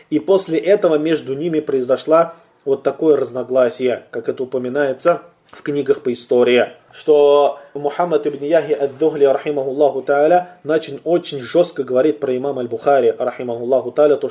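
A man teaching a religious lesson to his listeners, whom he calls brothers. His voice sits a little high for a man but sounds mellow and relaxed. He poses questions and answers them himself, stretching out the key phrases. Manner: deliberate, slows down to stress key points, asks and answers own questions.

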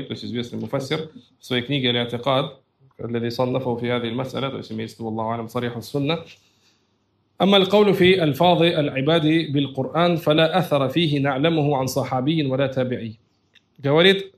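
Fast-talking man teaching religious texts. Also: mid-range voice, creaky. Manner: reads quotes aloud then explains plainly in his own words. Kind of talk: lecture reading Arabic texts and explaining them.

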